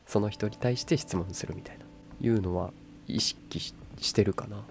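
A young adult woman chatting casually as a radio co-host in a calm, boyish, wry manner. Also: extremely low pitch, moderate pace, a little hiss or hum. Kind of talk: casual chat.

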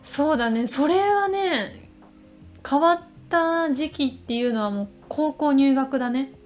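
High-voiced, eager woman podcaster over faint music. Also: a little slow. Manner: eager, insistent, talkative. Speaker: podcast co-host. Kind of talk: casual chat.

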